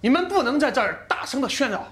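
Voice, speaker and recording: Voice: mid-range voice, fast talker, noisy background, lightly acted. An actor playing a stern, rule-bound exam official, firm and admonishing, in a screen drama with music and a crowd behind. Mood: stern warning.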